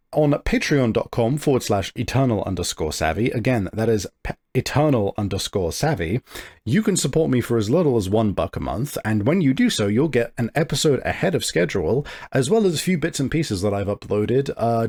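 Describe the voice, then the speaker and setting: A man's voice: mid-range voice, darkish tone. A man speaking casually and conversationally as the host and game master of a tabletop podcast. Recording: music playing behind the voice. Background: music.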